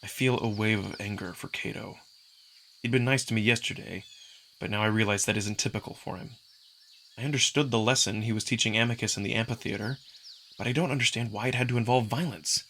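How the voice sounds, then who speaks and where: A man's voice: lowish voice, fairly quick, fairly bright tone, a little hiss or hum. A man reading first-person visual novel narration as a scripted storyteller. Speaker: narrator and voice performer.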